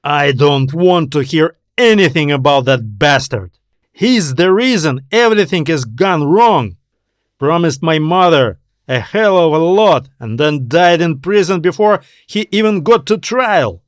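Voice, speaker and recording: A man's voice: a little high, animated, a little slow, dry recording, clean studio sound. A man acting a bitter, furious, defiant man. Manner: forceful, scornful, swearing. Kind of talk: science fiction audio story.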